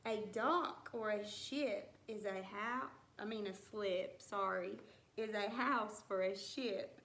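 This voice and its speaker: A woman teacher reading a rhyming picture book aloud to young children, with deliberate, singsong storybook phrasing. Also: medium pitch, a bit bright. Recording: roomy echo with some background noise.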